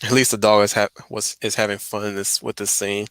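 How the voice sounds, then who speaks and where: Bright, low-pitched man chatting on a stream. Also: level tone, brisk, no echo. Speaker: stream host. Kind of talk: casual livestream chat reacting to a video.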